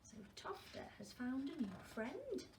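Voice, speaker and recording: A woman reads a children's story aloud in a high, very animated voice at a slow pace. She adds questioning asides for young listeners, and the recording is roomy. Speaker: primary school storyteller. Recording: roomy, distant mic, faint noise.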